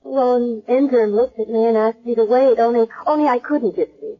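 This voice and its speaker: Actress playing a high-voiced, bewildered young woman. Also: lightly acted. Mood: confused, anxious. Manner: hesitant, halting, repeating words. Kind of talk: 1970s radio drama acting. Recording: dull lo-fi old radio broadcast.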